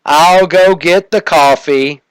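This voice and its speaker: A man's voice: on the high side, slow, clean tone, no echo, pitched above the performer's own voice, low-quality recording. A man voicing a naive, dim-witted detective sidekick in a comic police-drama parody. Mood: sheepish.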